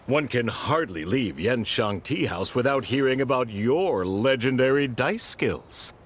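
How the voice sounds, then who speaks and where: A man's voice: mid-range voice, animated, unhurried, slightly rough. A man voicing a suave, smarmy male antique collector who speaks in flowery, ingratiating phrases in a video game.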